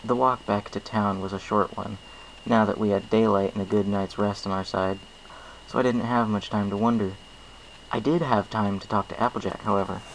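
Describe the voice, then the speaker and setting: Low, gravelly man reading a story. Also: flat delivery, normal speed, slight background noise, poor audio. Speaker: amateur story reader. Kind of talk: first-person storybook narration.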